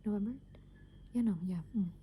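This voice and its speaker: A woman in her twenties whispering casual, meandering ASMR thoughts in a hushed, unvoiced voice. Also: medium pitch, steady tone, a little fast. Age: 20s. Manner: casual, meandering, thinking aloud. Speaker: ASMR creator. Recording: clearly noisy background hiss and ambience.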